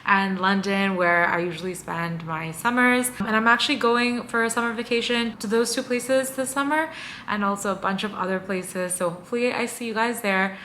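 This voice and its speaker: A woman's voice: mid-range voice, fairly even intonation, moderate pace, pushed and bright, slight background noise. A woman in her twenties from Hong Kong, talking to camera in a chatty, bubbly way as she gives tips. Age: 20s. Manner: bubbly, chatty, lots of "like" and "guys". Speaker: vlogger and entrepreneur. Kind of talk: casual talk to camera.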